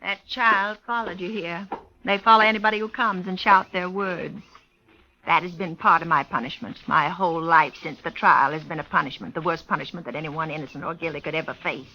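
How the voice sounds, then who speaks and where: An actress playing a haunted, defensive older woman in old radio suspense, halting and plaintive as she speaks. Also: lowish voice, fairly even intonation, natural voice. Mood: bitter, plaintive. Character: haunted, defensive older woman long accused of parents' murder. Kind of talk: 1940s-50s radio suspense acting. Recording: muffled old radio recording.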